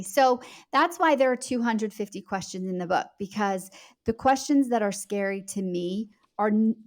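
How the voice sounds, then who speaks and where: A woman speaking as a guest author, explaining in a conversational interview style, fluent and emphatic, addressing the listener directly. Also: medium pitch.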